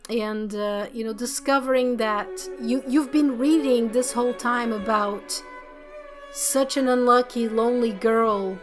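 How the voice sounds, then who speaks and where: A woman hosting a let's play, chatting excitedly and loosely about a game, her voice a little worn from talking. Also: on the high side, unhurried. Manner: excited, rambling, many fillers. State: slightly losing her voice. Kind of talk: casual gameplay commentary. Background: faint game music.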